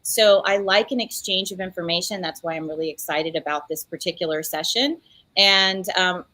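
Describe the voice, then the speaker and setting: A woman in her forties from Central Florida, a clinical educator speaking on a panel in a lively, good-humored way. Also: lowish voice, dry recording, low-quality recording. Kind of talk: panel discussion.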